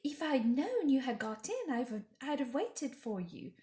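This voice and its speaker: A woman's voice: high-pitched, sing-song, a little slow, dark tone, slight background noise, voice pushed up. A woman voicing an earnest, trusting young girl in a storybook, full of eager wonder. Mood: excited, delighted. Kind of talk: storybook character reading. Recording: echoey room.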